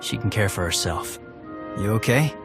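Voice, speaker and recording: A man's voice: lowish voice, animated, normal speed. A man playing a loyal, laconic mercenary warrior in a video game, with terse, understated delivery. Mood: terse, grounded. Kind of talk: video game cutscene acting. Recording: voice over background music.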